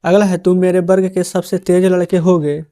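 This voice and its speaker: A man's voice: high-pitched, little pitch movement, normal speed, mellow. A male teacher dictating a translation lesson word by word, often checking in with his viewers. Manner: dictates the answers word by word, addresses viewers as friends.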